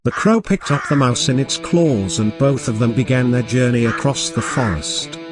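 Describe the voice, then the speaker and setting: A man's voice: medium pitch, moderate pace, music in the background. A man narrating a scripted children's moral story as storyteller.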